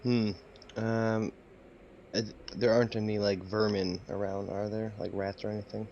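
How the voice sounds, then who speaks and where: A man's voice: lowish voice, little pitch movement, moderate pace, darkish tone, highs cut off, a little hiss or hum. A man playing a tabletop game, hesitant and full of questions. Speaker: player. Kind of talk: casual tabletop play.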